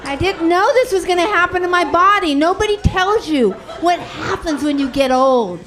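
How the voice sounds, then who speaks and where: A woman's voice: very high voice, lively intonation, moderate pace, a bit bright, soft background music, a faint crowd. A middle-aged woman doing a frank, self-mocking stand-up set. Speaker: stand-up comedian.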